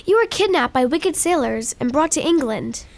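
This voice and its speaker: A woman playing a gentle, pleading young girl given to make-believe in an audio drama. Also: high-pitched, lively intonation, moderate pace, vocal fry. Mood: earnest, dreamy.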